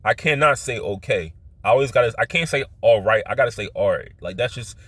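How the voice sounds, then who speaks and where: A man with a Philadelphia accent and heavily stressed O's, telling personal stories in a rambling, halting way that often circles back and repeats. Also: fairly low pitch, lively intonation, a bit bright, slightly rough, no room sound. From Philadelphia, United States. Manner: stresses his O vowels; halting, repetitive, circles back to his point. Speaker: vlogger.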